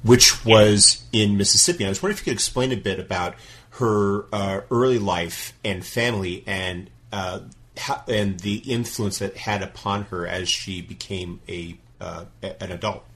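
A man hosting an interview podcast, asking measured, polite questions with occasional hesitations. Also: lowish voice, steady tone, a bit bright. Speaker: podcast host.

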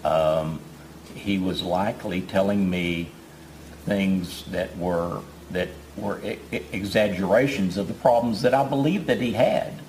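A man testifying in court as a forensic psychologist, measured and hesitant, pausing on fillers. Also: sits low, darkish tone, a little hiss or hum, poor audio.

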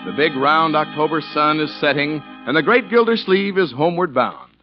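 A man's voice: a little high, normal speed. A man announcing in scripted 1940s radio style, emphatic and salesmanlike. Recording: muffled old radio recording. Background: faint music.

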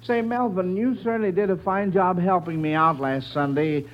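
High-pitched folksy American radio quiz man. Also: fairly even intonation, moderate pace, slight background noise. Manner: folksy, chatty, addressing children and listeners as friends. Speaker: quiz show host. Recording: muffled vintage radio broadcast.

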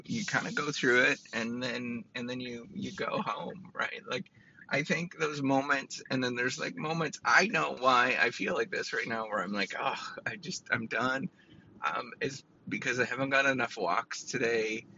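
A man doing his PhD, giving casual motivational advice with a raspy, tickly throat, rambling with many restarts and fillers. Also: medium pitch, bright, forceful tone, highs cut off, slight background noise. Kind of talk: casual motivational talk to camera.

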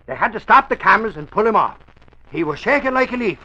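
A man's voice: high-pitched, lively intonation, fast talker, sharp tone, dull audio, heavily acted. A man playing a boastful, blustering Irish would-be actor in an old-time radio comedy drama. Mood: defensive. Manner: blustering, defensive.

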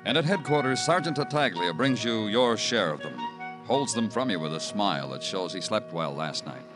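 An actor playing a world-weary police detective in an old radio crime drama, measured, brooding and hard-boiled. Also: male voice, fairly low pitch, animated, lightly acted. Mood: brooding, deliberate. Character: world-weary male police detective narrating his own case. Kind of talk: 1940s-50s radio crime drama acting and narration. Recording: old radio recording with prominent music.

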